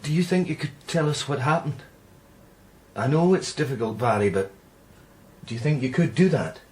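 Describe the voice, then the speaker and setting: An actor playing a concerned psychic detective in radio drama dialogue, earnest and questioning. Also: male voice, mid-range voice, normal speed, natural voice. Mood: gentle coaxing. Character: concerned, gently probing male psychic detective.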